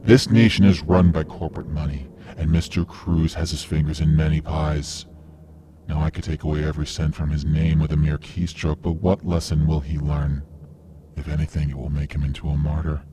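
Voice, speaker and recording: A man playing a cold, self-righteous vigilante killer in a radio drama, speaking in a measured, menacing, lecturing way. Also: very deep voice, little pitch movement, background noise. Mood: grave, persuading.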